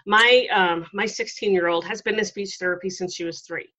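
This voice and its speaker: A middle-aged woman hosting an interview, talking in a relaxed, reflective way with lots of ums and you-knows. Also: mid-range voice, fairly quick. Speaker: podcast host. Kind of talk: conversational interview. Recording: dull lo-fi audio.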